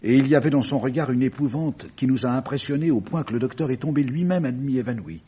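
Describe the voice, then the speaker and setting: Homme jouant un témoin ébranlé, voix très éraillée. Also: male voice, medium pitch, fast, mellow, slightly rough, highs cut off, close to an everyday voice. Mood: haunted. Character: shaken retired tin-mining man, bereaved brother and witness. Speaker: actor in a radio mystery play. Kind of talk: French radio drama acting.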